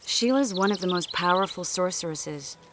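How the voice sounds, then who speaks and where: An actress playing a wary, secretive sorceress in a fantasy video game, speaking in a measured, earnest, protective way. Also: female voice, lowish voice, lively intonation, noisy background, natural voice. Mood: guarded.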